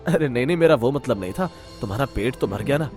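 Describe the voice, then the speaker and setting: A man's voice: mid-range voice, animated, fast talker, a little mellow, faint music behind, lightly acted. A man voicing a nervous, placating villager in a cartoon story, talking defensively. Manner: defensive, appeasing.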